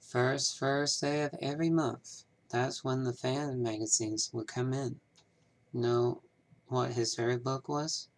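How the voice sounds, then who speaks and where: A man voicing a polite, businesslike 1930s small-town banker from a mystery game, with measured, formal phrasing. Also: medium pitch, steady tone, lightly acted, poor audio. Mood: explanatory. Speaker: amateur voice actor. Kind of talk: scripted game character dialogue.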